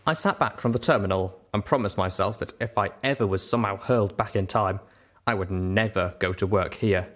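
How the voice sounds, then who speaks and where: Guy reading a first person adventure audiobook, voice on the lower side. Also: fairly quick, a bit bright. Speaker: narrator.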